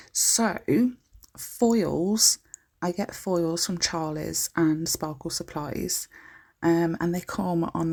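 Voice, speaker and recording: A woman talks through a nail art tutorial, her voice croaky and groggy. The tone sits a little low, gravelly with vocal fry, and husky. She chats casually and keeps stopping to correct herself. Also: darkish tone. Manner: chatty and informal, breaks off often to correct herself.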